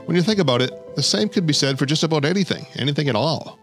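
A man's voice: a little high, expressive, fast, music in the background. A male podcast host narrating in a light, wry storytelling way between interview clips. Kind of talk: scripted narration.

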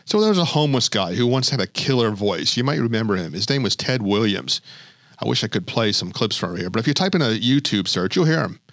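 A man's voice: on the low side, lively intonation, fairly quick, a bit bright, dry recording. A male podcast host narrating in a light, wry storytelling way between interview clips. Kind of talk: scripted narration.

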